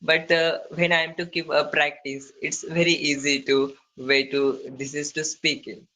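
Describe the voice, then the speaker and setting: A man with an Indian accent practising conversational English, speaking haltingly in non-fluent phrases with broken grammar. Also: a little high, level tone, sharp tone, a little hiss or hum, low-quality recording. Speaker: English learner. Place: online video call.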